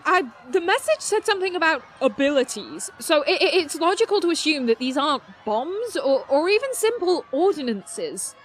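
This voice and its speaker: A woman playing a brainy, excitable teenage girl who talks in formal, wordy bursts in an audio drama. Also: high-pitched, moderate pace, few pauses. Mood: anxious, analytical.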